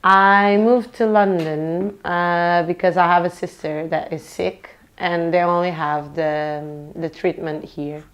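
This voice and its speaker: A young nurse born in Portugal speaks in a low, steady voice. She talks earnestly and thoughtfully, stopping now and then to search for the right word. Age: young adult. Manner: earnest, reflective, pauses often to find words. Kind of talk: documentary interview. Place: London.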